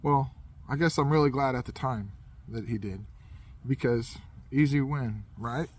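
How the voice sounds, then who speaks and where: A man's voice: mid-range voice, a little slow, slightly rough. A man casually telling a comedic anecdote in a rambling, self-deprecating way, as a podcast host would.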